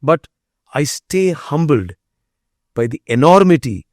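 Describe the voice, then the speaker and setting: Earnest Indian man, mellow voice. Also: on the high side, very animated, unhurried, no echo, clean studio sound. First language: Tamil. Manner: earnest, emphatic, speech-like. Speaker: biotech company CEO, guest. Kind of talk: conversational interview.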